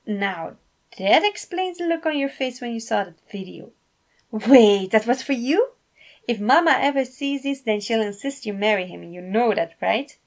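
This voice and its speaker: Woman acting excitable mom, teasing, caring, emphatic, lifted pitch, storybook dialogue. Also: moderate pace. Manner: eager, emphatic.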